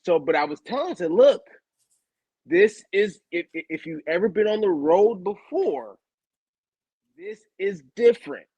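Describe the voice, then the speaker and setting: Animated slangy man on a podcast. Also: sits high, moderate pace, slightly rough, dry recording, muffled recording. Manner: animated storytelling, slangy, repeats words. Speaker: co-host, former player. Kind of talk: casual podcast chat. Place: home studio, video call. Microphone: video call setup.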